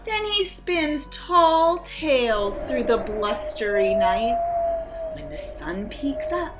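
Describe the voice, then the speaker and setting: A woman reading a children's picture book aloud in an expressive, theatrical way, acting out the sound words. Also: high-pitched, takes it slowly, rough recording. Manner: dramatic, acts out sound words. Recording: music behind the voice. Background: background music.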